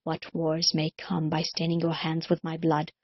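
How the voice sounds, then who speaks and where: A proud, weary elf king, as a woman narrator reads him. He speaks quietly and evenly, in a low, breathy voice. The recording sounds dry and dull. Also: completely flat delivery, normal speed, no room sound. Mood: quiet challenge.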